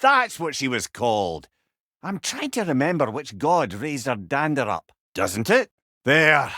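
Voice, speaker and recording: An actor playing a wry, clever older male storyteller companion in a video game, with a Scottish accent and a droll, expressive manner. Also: a little high, dry recording, clean studio sound. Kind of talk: video game character dialogue.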